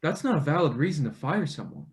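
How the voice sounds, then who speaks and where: An actor playing an exasperated, desperate young intern in a theatre table read, halting and protesting with some sarcasm. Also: mid-range voice, very animated, lightly acted.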